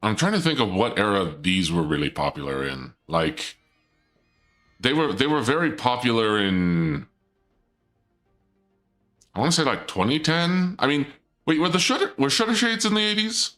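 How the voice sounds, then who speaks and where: A man live-streaming a game, chatting casually with his viewers in a playful dark-lord persona; he is sniffly from a cold and often stumbles over his words. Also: medium pitch, very animated, normal speed, long pauses, faint music behind.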